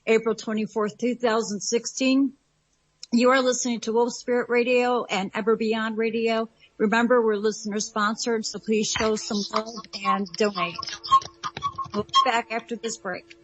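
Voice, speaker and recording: A woman's voice: a little high, steady tone, unhurried, lo-fi sound. A woman hosting a live internet radio talk show, reading station announcements and handing over to guests.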